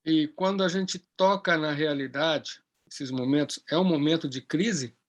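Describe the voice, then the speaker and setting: A man's voice: fairly high pitch, unhurried. A man in the audience asking the lecturer a question.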